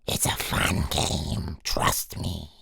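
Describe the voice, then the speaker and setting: Very low, raspy whispering monster. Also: male voice, little pitch movement, drawn-out words, sharp tone, dry recording, full character voice. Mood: sly menace. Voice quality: raspy, breathy growl. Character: sinister whispering creepy monster luring victims.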